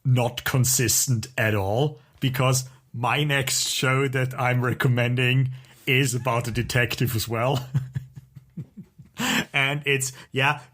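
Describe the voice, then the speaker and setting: A man co-hosting a podcast in casual chat, talking in a halting way as he thinks aloud. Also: medium pitch, fairly even intonation, fairly bright tone. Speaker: podcast co-host.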